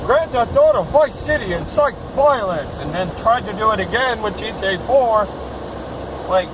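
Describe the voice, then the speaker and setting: Nineteen-year-old man ranting in a noisy car. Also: a little high. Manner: swears freely, rambling and emphatic. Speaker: vlogger. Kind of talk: casual rant to camera. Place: in a car after work. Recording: loud car noise. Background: loud road and engine noise.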